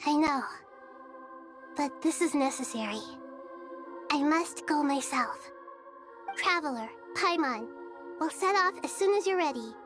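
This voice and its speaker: A high, girlish voice of a wise and gentle young deity for a video game. She speaks in composed, measured phrases, formal yet playful. Faint music plays behind her. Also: expressive. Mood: warm, playful. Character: gentle wise childlike female deity and ruler.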